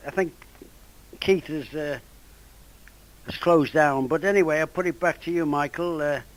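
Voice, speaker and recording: A man's voice: fairly high pitch, rough recording. An elderly man, his voice going from a cold, speaking hesitantly in a casual amateur radio contact. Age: 80s or older. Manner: hesitant, halting. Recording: ham radio contact over internet app.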